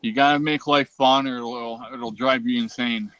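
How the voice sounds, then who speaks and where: A man hosting a casual livestream, easygoing and relaxed, reading out the chat and swearing now and then. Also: medium pitch, normal speed, no echo. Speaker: livestream host.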